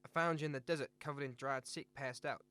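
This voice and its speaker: A man playing a calm rehab centre worker in a radio drama, matter-of-fact and gently insistent. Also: mid-range voice, fast talker, clean tone, lightly acted. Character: calm, firm rehab center worker leading group sessions.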